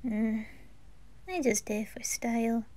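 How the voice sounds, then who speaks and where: Soft, subdued, shy anime teen boy acted by a woman, slow and hesitant. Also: fairly high pitch, natural voice. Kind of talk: amateur anime audio roleplay.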